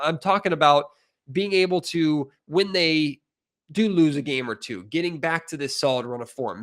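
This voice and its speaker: A man's voice: on the high side, a bit bright. An American man hosting a sports podcast, talking as a reporter and interviewer.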